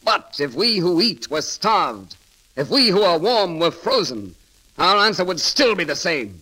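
An actor playing a witty, bold and romantic grand constable in an eloquent, theatrical 1930s radio style. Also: male voice, high-pitched, very animated, measured pace, pushed and bright, breathy edge, full character voice, low-quality recording. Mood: defiant, commanding.

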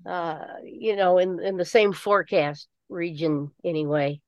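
A woman's voice: on the low side, darkish tone, no echo. Older woman on dull, dry call audio. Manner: halting, repeats words. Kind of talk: casual group chat.

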